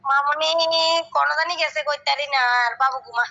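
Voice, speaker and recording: A woman's voice: high voice, steady tone, brisk, gravelly, sharp tone. A woman chatting casually with her father on a phone call, asking him lots of questions. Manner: chatty, asks many questions. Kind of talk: casual family phone chat. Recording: recorded phone call, dull audio.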